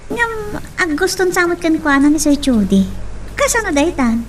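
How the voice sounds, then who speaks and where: A woman with an Ilocano accent acting a lively, teasing young woman in a romance audio drama. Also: extremely high pitch, moderate pace, distant mic, a little hiss or hum. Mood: teasing, surprised.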